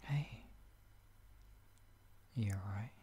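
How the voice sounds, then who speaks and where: A young man gently comforting the listener in a whispered roleplay, speaking in a soothing, caring way. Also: on the low side, drawn-out words, husky.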